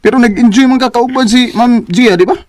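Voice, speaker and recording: A man's voice: very high-pitched, brisk, no room sound. An actor playing a concerned male friend in a radio drama, questioning and probing. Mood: curious. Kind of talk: Bisaya radio drama acting.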